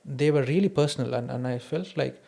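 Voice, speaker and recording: Man, Indian accent, non-native English speaker, medium pitch, reflective podcast conversation, personal anecdotes. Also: brisk, vocal fry, dark tone. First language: an Indian mother tongue, not English. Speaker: podcast host.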